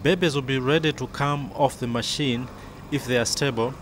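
Measured male instructional narrator, faint noise. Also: medium pitch, steady tone, fairly bright tone. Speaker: medical training film narrator.

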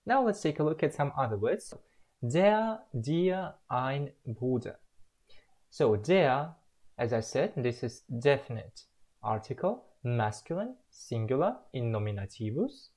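A young man from Russia, explaining the words he has learned slowly and carefully in a self-recorded lesson at home on a webcam. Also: medium pitch, sing-song, a little mellow. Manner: slow, careful, drawn-out word-by-word explanation. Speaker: language learner presenting his progress.

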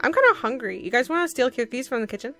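A woman playing a playful, mischievous young witch in a Minecraft roleplay, in a bubbly, excitable manner. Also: high-pitched, racing. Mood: excited. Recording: music under the voice.

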